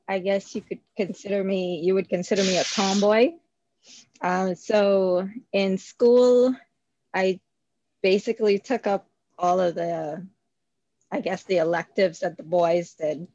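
A woman's voice: medium pitch, darkish tone, dry recording, dull audio. A woman, talking as an interview guest, hesitant with many fillers and frequent laughs. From Bahamas. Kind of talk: casual interview.

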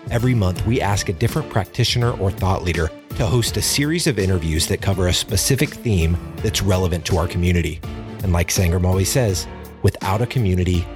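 A man's voice: deep voice, fast. A man reading a scripted podcast introduction as an announcer. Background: music.

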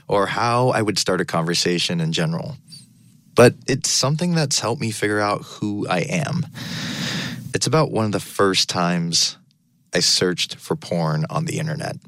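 A man's voice: low voice, fairly bright tone, no echo, clean studio sound. A man narrating a confessional first-person story for a podcast.

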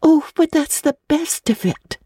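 Storybook orphan girl: high, soft-edged, impulsive, dramatic, earnest and breathless. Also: animated, measured pace, dry recording, clean studio sound. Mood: excited protest. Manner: breathless, theatrical, pleading delivery. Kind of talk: dramatic audiobook reading of classic novel.

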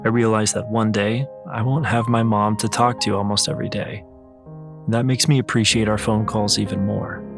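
A man's voice: lowish voice, steady tone, moderate pace, vocal fry. A man reading a scripted first-person personal essay as narrator. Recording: music behind the voice. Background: music.

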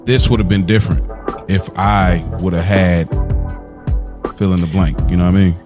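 A man with an American accent and a fairly low voice hosts a casual podcast interview. He puts informal, probing questions in a conversational way, with faint music behind him. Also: steady tone, normal speed. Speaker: podcast host.

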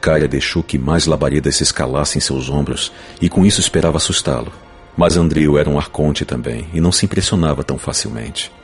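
A man narrating a fantasy novel in a dramatic, scripted audiobook style. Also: very deep voice. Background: music.

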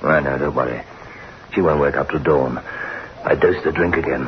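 An actor playing a nervous, weak-willed, fed-up husband in a radio horror drama, halting and repeating himself. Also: male voice, extremely low pitch, slight background noise. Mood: furtive. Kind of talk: 1960s radio horror acting. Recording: muffled old radio recording, loud music.

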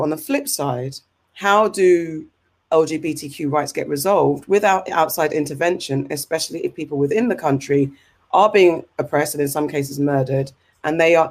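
A woman with an English accent, hosting a casual discussion show, talking in an animated, rambling way with fillers and restarts. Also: sits low, moderate pace. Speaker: podcast host.

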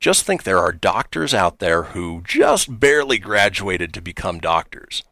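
A man with a lowish voice and a lively, up-and-down delivery hosts a radio show. He's wry and laid-back and laughs easily. His voice sounds dry and close to the mic. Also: unhurried, dry recording. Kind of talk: casual radio show hosting.

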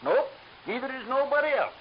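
Gangly young gas station kid, wisecracking, voice swooping all over; dull lo-fi broadcast. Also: male voice, extremely high pitch, animated, brisk. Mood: gossipy. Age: young adult. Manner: wisecracking, laughs at own jokes. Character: gangly joking small-town gas station boy. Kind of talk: 1940s radio acting. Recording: hissy dull old radio broadcast.

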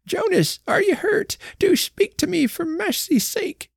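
A trembling, fluttery old farm wife. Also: female voice, very high-pitched, animated, measured pace, gravelly, dry recording, studio quality, pitched above the performer's own voice, heavily acted. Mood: anxious pleading. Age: older. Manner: pleading, fluttery.

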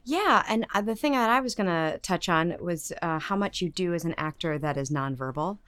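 A woman's voice: on the low side, expressive, normal speed, a bit bright, clean tone, dry recording. A middle-aged woman, a stage actress, chatting casually as an interview guest.

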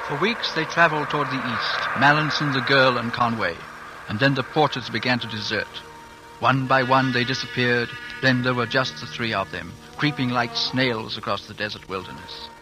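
A man's voice: medium pitch, moderate pace, rough recording. Man narrating somberly over music. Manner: steady, somber storytelling. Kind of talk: scripted narration. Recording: old radio broadcast with music underscore.

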